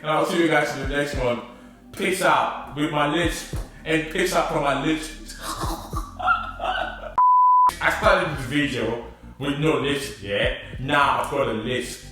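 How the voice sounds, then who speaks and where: Man, casual vlogger, chatty, excitable, thinking out loud, very echoey room, mic set back. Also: a little high, takes it slowly, long pauses, far from the mic, loud music behind the voice. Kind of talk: casual unboxing chat.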